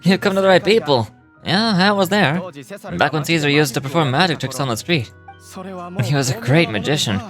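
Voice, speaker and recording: A woman's voice: deep voice, fairly quick, music in the background, voice pushed down, lightly acted. A woman voicing an opinionated, gossipy townsperson from a fantasy game, telling stories emphatically in a conversational way. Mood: admiring. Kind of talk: video game dialogue read aloud.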